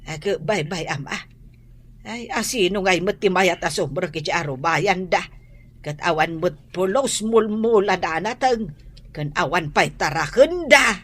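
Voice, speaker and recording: A woman's voice: lowish voice, expressive, a little slow, fairly bright tone, husky, exaggerated voice. A woman with an Ilocano Filipino accent playing a gossipy, disdainful village woman in a comedic radio drama.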